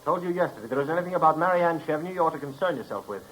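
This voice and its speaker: A man's voice: a little high, lively intonation, very fast, a bit bright, low-quality recording. An actor playing a stern, hostile armed man, curt, formal and commanding, in 1950s radio drama style. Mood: menacing.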